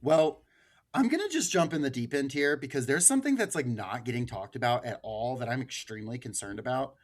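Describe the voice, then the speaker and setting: A man's voice: medium pitch, animated, brisk. A man hosting a podcast, talking casually with a panel. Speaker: podcast host.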